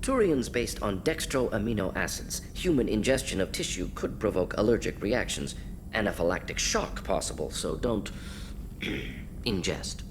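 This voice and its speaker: A man voicing a brisk, eccentric alien scientist-doctor in a video game, speaking in clipped, deadpan clinical phrases with dry amusement. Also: lowish voice, background noise. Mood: clinical, matter-of-fact.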